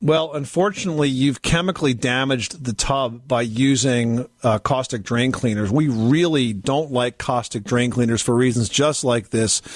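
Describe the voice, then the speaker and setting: A man's voice: mid-range voice, no echo, studio quality. A man hosting a home improvement call-in show, explaining things in an emphatic, folksy, conversational way. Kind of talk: conversational advice radio hosting. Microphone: close studio microphone.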